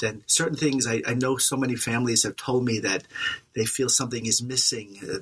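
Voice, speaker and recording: Priest guy on a podcast, husky but bright voice, calm pastoral chat. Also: mid-range voice, low-quality recording. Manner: warm, reflective, pastoral. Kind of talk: casual conversation.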